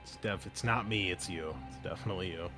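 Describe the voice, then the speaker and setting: A man's voice: deep voice, fast. Male game master with music underneath. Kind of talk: narrating a tabletop game, casual.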